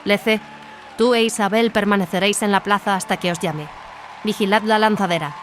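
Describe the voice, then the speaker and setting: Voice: mid-range voice, steady tone, normal speed, over music, pitched above the performer's own voice, lightly acted. A performer voicing a cautious, diplomatic warrior nun commander, formal and authoritative, in an audio drama. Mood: commanding.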